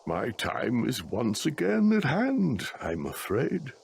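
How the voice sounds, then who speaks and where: Slow, creaky old alien elder. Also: male voice, medium pitch, wildly swooping pitch, darkish tone, full character voice. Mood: weary, grave. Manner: slow, grave, measured delivery. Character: aged, gentle, solemn alien village elder patriarch. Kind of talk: video game cutscene acting.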